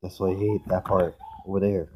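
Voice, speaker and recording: A hoarse woman giving casual commentary while playing a game, often clearing her throat and reacting aloud. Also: a bass voice, fairly even intonation, brisk. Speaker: gaming video creator. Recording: clear background noise with clicks.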